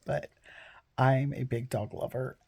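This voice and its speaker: A woman in her fifties talks to camera from home, her voice froggy and hoarse from a cold. Her tone is dark and mellow, with a rough gravel underneath. She rambles earnestly and keeps drifting into side stories. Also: extremely low pitch, fairly even intonation, unhurried. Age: 50s. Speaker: vlogger. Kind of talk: casual storytelling to camera.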